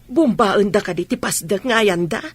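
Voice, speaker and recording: Anxious mother female actor: mid-pitched, husky, gentle, questioning, dry sound, radio drama. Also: moderate pace, no echo, close to an everyday voice. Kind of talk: Ilocano radio drama acting.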